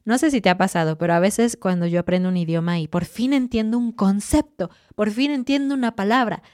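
A woman hosting a podcast for Spanish learners, giving clear, encouraging advice with lively asides. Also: mid-range voice, a little fast, no echo, studio quality. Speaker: Spanish teacher and podcast host.

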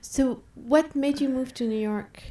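A woman interviewer who asks calm, direct questions. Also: sits high, a little slow.

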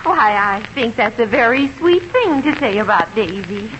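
A bright, animated young American housewife, speaks in a high, lilting voice. She is sweet yet firm and exasperated, in vintage radio sitcom style, with faint old-recording noise. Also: moderate pace, highs cut off. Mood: apologetic, sweet. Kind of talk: 1950 radio sitcom acting.

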